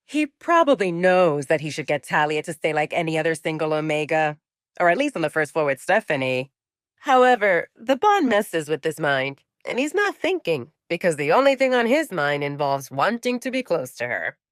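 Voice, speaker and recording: A woman's voice: low voice, sing-song, normal speed, no echo, clean studio sound. A woman voicing an amused, gossipy young male werewolf in an audiobook romance, with a teasing, knowing manner. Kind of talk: audiobook romance drama acting.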